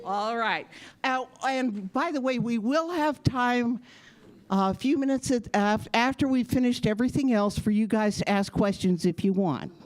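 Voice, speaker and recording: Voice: medium pitch, moderate pace. A presenter giving a casual spoken introduction to an audience at a children's show.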